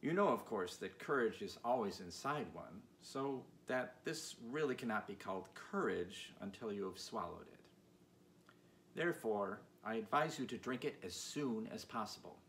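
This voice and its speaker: A man's voice: fairly low pitch, expressive, normal speed, fairly bright tone. A man voicing a genial little humbug wizard from a storybook, with emphatic, showman-like patter. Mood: breezy, reassuring. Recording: clear background noise.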